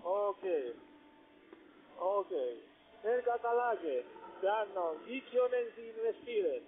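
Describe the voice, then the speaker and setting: A man with a very high voice and a foreign accent presses on with a sales call. His phrasing is halting and non-native, and he comes through a thin, tinny telephone connection. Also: sing-song. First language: not German. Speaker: call-center telephone trader. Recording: tinny narrowband phone line.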